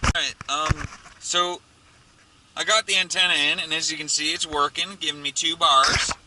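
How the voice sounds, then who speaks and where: Sweary man over clear car noise. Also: fairly high pitch, steady tone, moderate pace. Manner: offhand, sweary, grumbling. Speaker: amateur how-to video maker. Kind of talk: casual hands-on tutorial, talking while working. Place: inside a car. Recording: clear vehicle noise and clicks.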